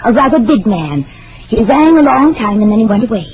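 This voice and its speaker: High, girlish, fragile woman, tinny old radio. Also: very animated, a little fast, noisy background. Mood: anxious to please. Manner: girlish, wistful, timid, pleading. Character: childlike, fragile, lonely woman nearly forty. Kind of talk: old-time radio drama acting.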